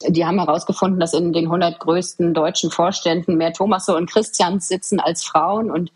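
A woman from eastern Germany with a husky voice, answering interview questions in an earnest, articulate and emphatic way. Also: fairly low pitch, fairly even intonation, brisk, a little mellow. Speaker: podcast guest, government official. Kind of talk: interview answers, explanatory conversation.

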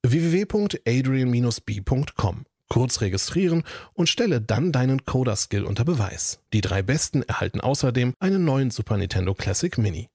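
Lively gravelly man reading an ad. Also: medium pitch, normal speed, no echo, studio quality. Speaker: ad announcer. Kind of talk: read sponsor advertisement.

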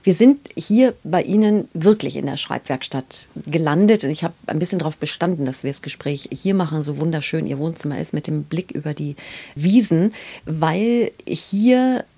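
A woman's voice: sits low, normal speed, mellow, husky. A woman hosting a literary interview podcast, speaking in an articulate, courteous way. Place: indoors, in a writer's study.

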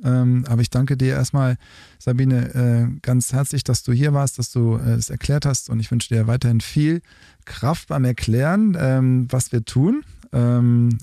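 Gravelly-voiced male podcast host. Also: medium pitch, a little slow, dark tone, no echo, studio quality. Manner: hesitant, many fillers. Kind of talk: interview podcast.

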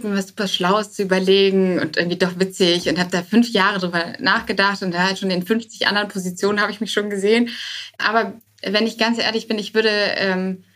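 A woman's voice: mid-range voice, fairly bright tone. A woman talking casually as an interview guest, informal and sprinkled with English buzzwords. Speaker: podcast guest, start-up co-founder.

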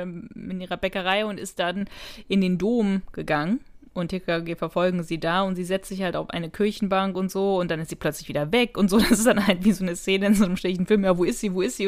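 A woman co-hosting a podcast in casual conversation, chatty and colloquial, often giggly as she talks. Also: medium pitch. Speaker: podcast co-host. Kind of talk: casual chat and plot retelling.

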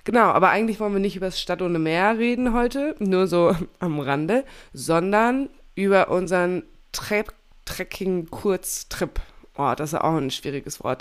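A young woman hosting a relaxed podcast, her voice a little low and slightly stuffy from a cold. She chats easily, laughs often and corrects herself as she goes. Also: clear, resonant tone. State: has a cold. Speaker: podcast host. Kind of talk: casual chat.

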